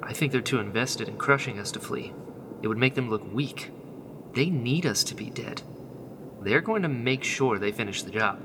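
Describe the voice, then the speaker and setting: A man's voice: medium pitch, animated, moderate pace, background noise, close to an everyday voice. A man playing an idealistic, principled rebel leader in a sci-fi audio drama, earnest and insistent. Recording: clear music bed behind.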